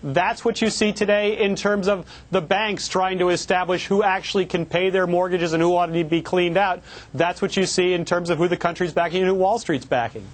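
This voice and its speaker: A man joining a business news panel as a contributor, high-pitched and quick to tease. His talk is joking and animated. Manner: teasing, animated. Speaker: contributor in Silicon Valley.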